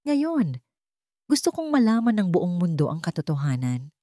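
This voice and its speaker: Woman voicing a resolute male executive, Filipino accent, low-pitched, deliberate, weighty, dry storybook drama sound. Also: sing-song, mellow, dry recording. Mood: grave, accusing. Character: determined male chief executive making a public declaration.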